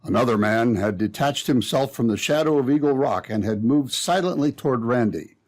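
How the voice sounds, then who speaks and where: A man's voice: mid-range voice, animated, moderate pace. A man announcing and narrating in a dramatic, theatrical old-time radio style.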